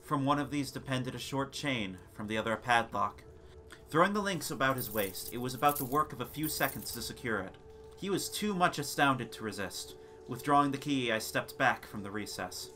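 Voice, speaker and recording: A man reading a gothic tale as a theatrical, deliberate dramatic narration. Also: mid-range voice, animated, bright, forceful tone, faint music behind. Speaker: narrator of a gothic short story. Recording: noisy ambience sound bed. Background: background ambience and sound effects.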